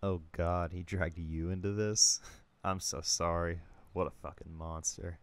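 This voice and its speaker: A man's voice: low-pitched, fairly even intonation, unhurried. A man playing a jaded writer in their thirties, dry and self-deprecating, in an amateur comic dub. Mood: wry, weary.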